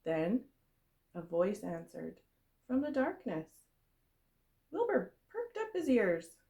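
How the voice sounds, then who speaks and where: A woman reading a storybook aloud for young children, in the expressive, explanatory way of a kindergarten teacher. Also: medium pitch, slow pace, vocal fry.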